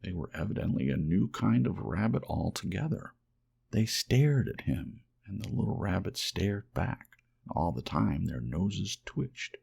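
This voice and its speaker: A man narrates a children's storybook as an ASMR audiobook. His voice is deep and husky, with a strong creak. He tells it gently, in hushed storytelling fashion. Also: very animated, unhurried.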